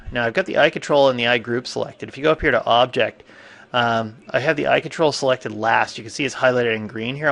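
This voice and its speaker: A man with a stuffy cold, teaching a software tutorial in a casual, thinking-aloud way with frequent fillers. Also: mid-range voice, vocal fry. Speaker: 3D animation instructor. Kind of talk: screen-recorded software tutorial.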